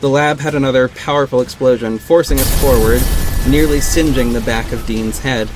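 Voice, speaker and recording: A man's voice: medium pitch, steady tone, moderate pace, background noise, natural voice. A man playing a confident vigilante detective who narrates his own story in an earnest, dramatic audio drama style. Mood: tense, dramatic. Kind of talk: first-person audio drama narration with acted dialogue.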